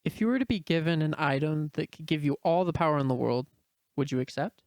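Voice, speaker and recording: A man voicing a gentle, caring teenage sister in an amateur fan-fiction audio story. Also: on the high side, lively intonation, fast talker, no echo. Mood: gentle, curious. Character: gentle, caring teenage sister and plain-spoken science teacher.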